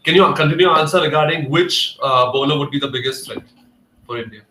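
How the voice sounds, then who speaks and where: Male host, fairly high bright voice, echoey room, chatty, affirming, casual sports talk. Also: quick pace, a little hiss or hum. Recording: echoey room on internet call.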